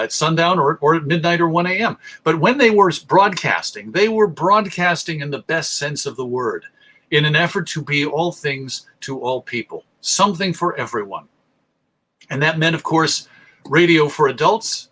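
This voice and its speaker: A middle-aged American man presents a history talk in a bright, forceful voice pitched a little high. He is articulate and measured, leaning on key words like a storyteller. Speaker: presenter, radio show host. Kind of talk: illustrated history lecture.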